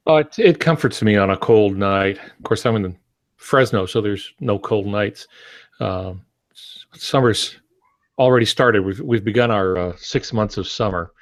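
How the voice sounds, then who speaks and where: An American man in his sixties telling stories casually, with dry humor and a deliberate delivery. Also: lowish voice, no echo. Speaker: podcast co-host, novelist. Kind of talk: casual storytelling chat. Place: home office on a video call. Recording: dull video call audio.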